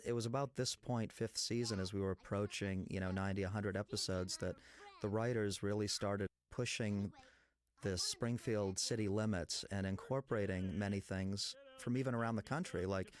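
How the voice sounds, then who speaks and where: A man's voice: fairly low pitch, fairly even intonation, normal speed. A man from New England with an American accent, speaking as a background designer in a casual audio commentary, explaining things in detail. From Massachusetts, New England, USA.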